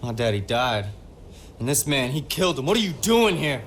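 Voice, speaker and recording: A man's voice: medium pitch, big pitch swings, moderate pace, a bit bright, a little hiss or hum. An actor playing a frightened, earnest poor teenage boy in a video game, speaking in a hesitant, plaintive manner. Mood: frightened, accusing. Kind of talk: dramatic video game acting.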